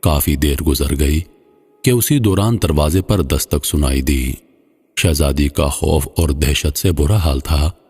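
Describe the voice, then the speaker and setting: A man narrating a scripted moral folk tale in formal literary style, with a measured, solemn delivery. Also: extremely low pitch, darkish tone, husky. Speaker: storyteller, narrator. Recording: long hall-like reverb, slightly muffled voice.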